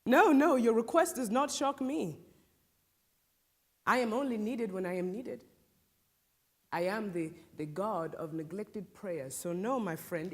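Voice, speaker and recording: A woman playing a proud, bitter Nigerian village woman accused of witchcraft in a theatrical stage monologue, with a Nigerian accent, taunting delivery and loaded pauses. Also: a little high, natural voice. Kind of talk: solo dramatic stage monologue.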